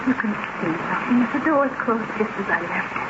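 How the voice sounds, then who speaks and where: A woman's voice: medium pitch, animated, a little fast, over a noisy crowd, natural voice. An actress playing a frightened, pleading young woman with a tremulous voice in an old-time radio horror drama. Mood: anxious pleading. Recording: noisy, dull vintage radio recording.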